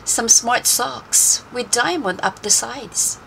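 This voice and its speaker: A woman reading a children's story aloud in an expressive, deliberate and animated way. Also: a little high, vocal fry, rough recording. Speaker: storybook reader. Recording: faint background noise.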